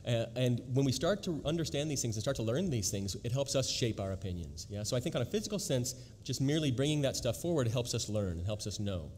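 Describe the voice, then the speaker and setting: A man, a researcher of language newspapers, answering on a panel in a quick, reflective way with many restarts. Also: mid-range voice, expressive, darkish tone. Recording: long hall reverb, faint noise.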